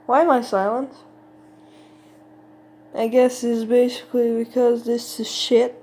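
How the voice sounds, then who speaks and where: A woman giving casual, unscripted reaction commentary in a halting, exasperated way, swearing freely. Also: a little high, little pitch movement, mellow, a little hiss or hum.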